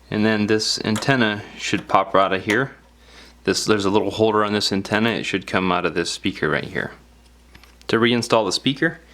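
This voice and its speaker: A man's voice: lowish voice, a bit bright. A man narrating a step-by-step repair tutorial in an informal, plain-spoken, explanatory way. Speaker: DIY phone repair tutorial presenter. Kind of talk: casual step-by-step instructional narration.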